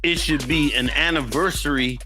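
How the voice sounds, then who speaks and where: A man's voice: fairly high pitch, normal speed, over music. A man from the Bronx, ranting emphatically as co-host in a casual commentary chat. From the Bronx, New York. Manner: heated, emphatic, preachy.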